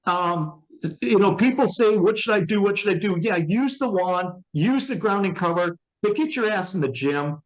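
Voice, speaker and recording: A man's voice: sits high, expressive, normal speed. A man in his fifties interviewing conversationally over a call, emphatic and motivational, repeating his points for effect. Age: 50s. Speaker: interviewer and wellness product promoter. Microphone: remote call. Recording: dull, muffled call audio.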